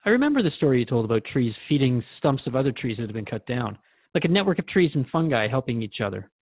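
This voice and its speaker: A man's voice: mid-range voice, very animated, quick pace, phone-quality sound. A man playing an earnest, thoughtful radio host who interviews in a reflective, conversational and sometimes hesitant way.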